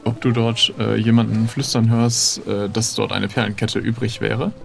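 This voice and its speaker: A man's voice: on the low side, little pitch movement, normal speed, creaky. A man improvising casual in-character talk as a player in a role-playing game, hesitant and thinking aloud.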